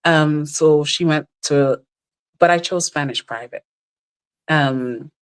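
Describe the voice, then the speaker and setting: Middle-aged woman, low register, animated conversational storyteller with big pitch jumps in quotes. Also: slow, clean tone. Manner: animated storyteller, jumps high when quoting others. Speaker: guest. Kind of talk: casual chat.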